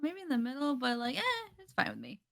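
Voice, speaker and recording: A woman's voice: high voice, wildly swooping pitch, fairly quick, vocal fry, fairly bright tone. A woman giving blunt, jokey opinions in a casual group chat, swearing now and then.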